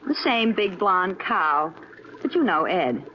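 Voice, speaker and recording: Catty female rival, American, sardonic and condescending, very lively pitch, vintage radio drama on a dull recording. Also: slow, slight background noise. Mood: catty, taunting. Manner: sardonic, condescending, mock-sweet endearments. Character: catty, taunting woman, scornful romantic rival. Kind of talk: 1940s-50s radio drama acting.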